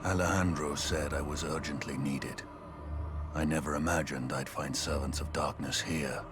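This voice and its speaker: A man's voice: extremely low pitch, steady tone, moderate pace, over music. An actor playing a grave male ally in a fantasy video game. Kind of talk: dramatic game voice acting.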